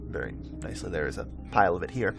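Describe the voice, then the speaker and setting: Low-voiced man casually commentating a game. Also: big pitch swings, racing, gravelly, over music. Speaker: host of a gameplay video.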